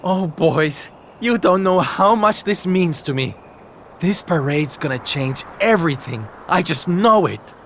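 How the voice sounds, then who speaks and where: A man's voice: on the high side, lively intonation, measured pace, a bit bright, slight background noise. An actor playing a flamboyant, theatrical male entertainer in a video game cutscene, speaking in a showy, grand, sing-song way. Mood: exuberant. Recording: game music behind.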